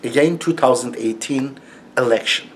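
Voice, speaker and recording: A man's voice: mid-range voice, normal speed. A man with a heavy Turkish accent and broken English, giving a casual, scornful monologue to camera and laughing mockingly. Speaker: YouTube commentator.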